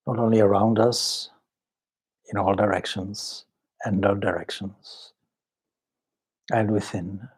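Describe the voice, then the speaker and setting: A man leading a guided meditation as a swami, speaking solemnly and measuredly in short phrases with long pauses. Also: lowish voice, darkish tone, breathy edge. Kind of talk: spiritual talk and guided meditation.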